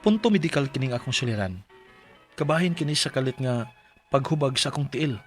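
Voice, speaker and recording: A man's voice: medium pitch, lively intonation, fairly quick, natural voice. A man acting an earnest married man in his thirties who tells his troubles in a radio drama. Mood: earnest, formal. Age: 30s. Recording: music bed under voice. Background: music.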